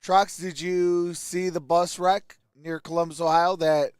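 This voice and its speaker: A man with a notably high voice hosts a sports livestream in a close, dry-sounding recording. He mixes simple play-by-play with casual reactions and replies to his chat. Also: little pitch movement, unhurried, clean tone, dry recording. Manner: reads out and answers viewer chat.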